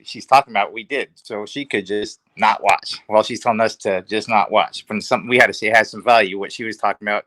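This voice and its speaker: A man's voice: fairly low pitch, pushed and bright, no echo, rough recording. A man speaking as a panelist in a casual discussion, giving his opinions emphatically.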